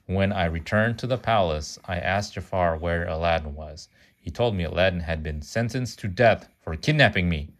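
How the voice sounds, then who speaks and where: A man with a low-pitched voice reads a storybook aloud for a child. He sometimes trips on a word and fixes it, and he slips in casual asides about the story. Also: moderate pace. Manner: stumbles over words and corrects himself.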